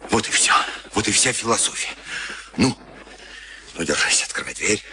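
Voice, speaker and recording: An actor in a Soviet radio play playing a gruff, nervous camp henchman who mutters urgently, pleading and threatening, often half-whispering. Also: on the low side, big pitch swings, moderate pace, pushed and bright, full character voice. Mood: coaxing. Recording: noisy archival radio drama recording.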